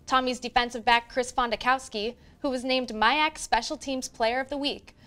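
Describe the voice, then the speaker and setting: A young woman with a fairly high voice hosts a student sports show from a studio desk. Her delivery is upbeat and scripted, her questions evenly paced. A faint bed of music sits underneath. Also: fairly bright tone. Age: young adult. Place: small TV studio set at a desk. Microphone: lapel mic.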